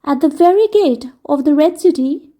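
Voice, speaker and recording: A woman's voice: sits high, lively intonation, normal speed, warm tone, pitched above the performer's own voice. A woman reading a storybook aloud, voicing a merry, playful fairy lady who speaks in a light, laughing way.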